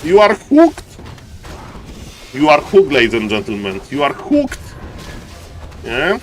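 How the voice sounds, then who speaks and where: High-voiced Polish-accented man casting a game. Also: slow, slight background noise. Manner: excitable, repeats phrases for emphasis. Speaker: esports caster and live streamer. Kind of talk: live strategy game commentary with chat banter.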